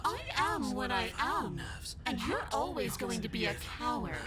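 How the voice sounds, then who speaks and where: A sinister female captive from a horror game. Her high voice swoops dramatically as she taunts, smug and coldly possessive. Loud music plays behind her. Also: big pitch swings, moderate pace. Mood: gloating, contemptuous. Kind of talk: video game voice acting.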